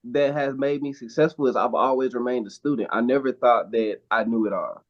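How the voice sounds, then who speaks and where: A man's voice: medium pitch, brisk. A man, a guest actor and model, talks in a casual interview. He gives earnest, emphatic advice and often restarts his sentences. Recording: dull lo-fi sound.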